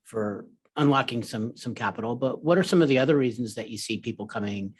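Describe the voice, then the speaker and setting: A man's voice: mid-range voice, a little fast, husky. Easygoing male podcast co-host. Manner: short, easygoing questions. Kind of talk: casual podcast chat.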